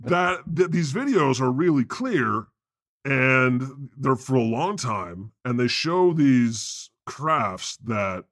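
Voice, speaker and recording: A man acts out a know-it-all God hosting a comedy podcast. He is emphatic, insistent and swears freely, in a mid-pitched voice with a very dry, close sound. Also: very animated, slow pace, fairly bright tone, no room sound, full character voice. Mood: emphatic, incredulous. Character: God, brash, foul-mouthed, know-it-all male deity hosting.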